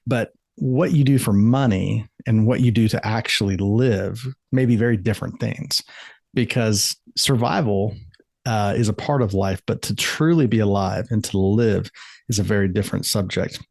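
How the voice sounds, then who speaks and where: Man, middle-aged, from the US, lowish voice, thoughtful earnest talk, dry sound. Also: normal speed, gravelly, a little mellow, no echo. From United States. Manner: thoughtful, earnest, many restarts and "uh"s. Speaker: author and life coach guest. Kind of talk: reflective interview answers.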